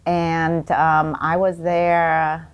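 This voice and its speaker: A middle-aged woman with a Vietnamese accent telling her personal story as an interview guest, halting and pausing often. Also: sits low, monotone, clear, resonant tone. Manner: halting, with many pauses. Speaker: guest, doctor.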